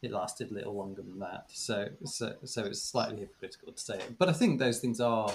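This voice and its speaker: A man's voice: lowish voice, a little fast, creaky, a little hiss or hum. A man, a podcast co-host and former teacher, chatting casually and reflectively with many restarts. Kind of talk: casual chat.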